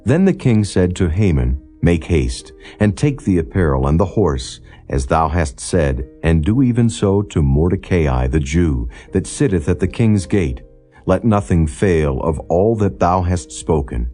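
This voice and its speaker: A man reading scripture as a solemn, formal audiobook narrator, in a measured and non-dramatized style. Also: sits low, warm tone, slightly rough. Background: background music.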